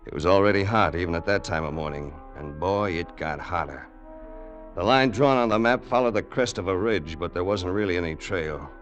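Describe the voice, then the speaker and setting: A man's voice: low-pitched, fairly quick, muffled recording, close to an everyday voice. An actor playing a tough, wisecracking crook who narrates his story colloquially in old radio drama style. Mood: weary, tense narrating. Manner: colloquial, hard-boiled. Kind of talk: 1940s-50s radio acting, first-person narration. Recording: vintage radio drama recording with music.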